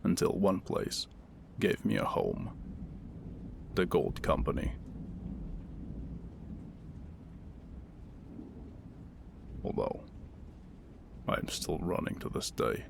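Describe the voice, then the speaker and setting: An extremely low, crackling-voiced wounded mercenary played by a man, murmuring intimately as in ASMR roleplay. He is guarded, brooding and wry with a tender streak, speaking in halting, old-world phrases while rain and thunder sound behind him. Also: big pitch swings, full character voice. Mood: haunted, brooding. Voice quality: deep, gravelly, breathy murmur. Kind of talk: ASMR roleplay acting, intimate second-person monologue. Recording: rain and thunder ambience noise.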